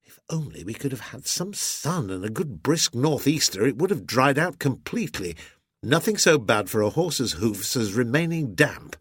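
A man's voice: fairly high pitch, big pitch swings, a little slow, a bit bright, slightly rough, no echo, studio quality, voice pushed up, full character voice. A man voicing a hearty, beaming sea captain in an audiobook, with an exuberant, bluff manner and old-fashioned nautical phrasing. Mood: eager, boastful.

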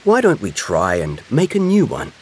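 A man voicing a kindly, steady badger in a children's storybook, friendly and reassuring. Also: on the low side, normal speed, a little hiss or hum, voice pushed up.

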